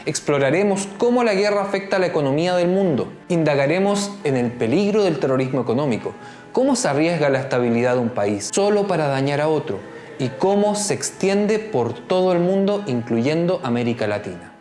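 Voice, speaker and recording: A narrator reading a formal script in a clear, declarative way. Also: medium pitch, lively intonation, a little fast, darkish tone, roomy sound, soft background music.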